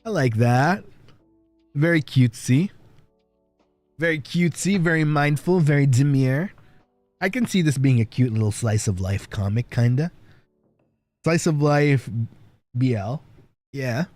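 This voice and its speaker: A man livestreaming in a playful, casual way, chatting and reading stories aloud with a husky voice. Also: mid-range voice, lively intonation, unhurried, long pauses, darkish tone, no echo. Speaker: livestreamer, virtual streamer. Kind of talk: casual livestream chat and fan fiction reading. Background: background music.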